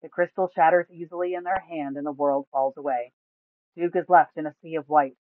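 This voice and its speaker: A woman's voice: sits low, fairly even intonation, a little fast, no room sound. A woman reading scripted fantasy story narration from a tabletop campaign. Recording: thin, phone-like, dry sound.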